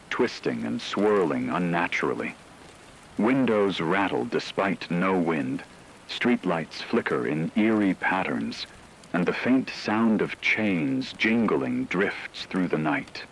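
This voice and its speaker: A man's voice: deep voice, lively intonation, slow pace, a little hiss or hum, heavily acted, low-quality recording. A man playing a grave radio news anchor, reading a horror broadcast in a solemn, formal, ominous way. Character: grave male radio news anchor reporting supernatural terror.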